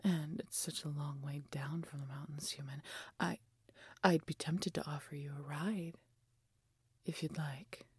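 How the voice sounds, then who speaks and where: Woman voicing a husky, low, proud dragoness. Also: fairly even intonation, darkish tone, no room sound, exaggerated voice. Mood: sly, possessive. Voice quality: breathy, husky. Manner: imperious, theatrical, deliberate phrasing. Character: proud, mighty wounded female dragon, haughty and grudging. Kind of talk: fantasy audio roleplay acting.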